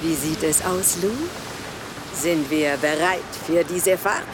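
A woman playing an imposing, rich yacht guest in an audio drama, coolly gracious and predatory, with drawn-out phrasing. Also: low voice, steady tone, background noise. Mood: silky, commanding.

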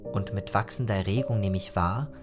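An actor playing an earnest, ironic writer recounting his youth in a measured, theatrical literary radio narration. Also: lowish voice, close to an everyday voice. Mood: wry, reflective. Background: music.

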